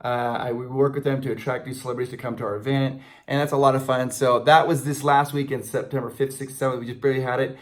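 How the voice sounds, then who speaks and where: A man's voice: medium pitch, fairly even intonation, fast, few pauses. A man in his forties with an American accent, co-hosting in casual, enthusiastic, jokey banter to camera. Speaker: video channel co-host, convention MC. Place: small indoor room before a banner.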